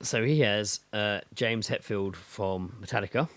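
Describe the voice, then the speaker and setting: A man's voice: lowish voice, a little slow, a bit bright. A British man co-hosting a podcast, chatting casually and matily with plenty of swearing. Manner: sweary, matey. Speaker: podcast co-host. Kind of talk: casual banter between friends.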